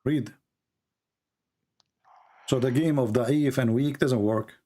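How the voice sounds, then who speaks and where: A man with an Arabic accent, a native Arabic speaker, arguing forcefully and lecturing in a heated live debate over an online call. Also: medium pitch, slow. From Middle East.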